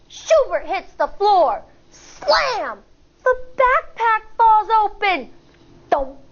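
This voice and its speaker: Teacher lady reading a kids book, slow sing-songy, slightly muffled studio audio. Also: extremely high pitch. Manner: expressive, sing-song, storyteller. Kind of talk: reading a picture book aloud to children. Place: indoor studio in front of a backdrop.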